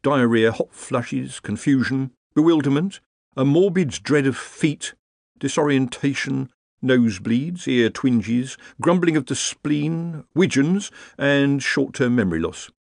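A man's voice: mid-range voice, lively intonation, measured pace, gravelly, dry recording, clean studio sound, voice pushed up. A man doing an anxious, fussing old hand of a wizard. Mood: fretful, coaxing. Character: anxious, weary veteran male wizard.

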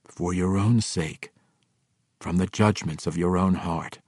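Man playing a steady, grave drow ranger, low, firm; dry audiobook read. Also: slightly rough, dry recording. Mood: resolute. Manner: measured, firm. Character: principled male drow ranger, grave and resolute.

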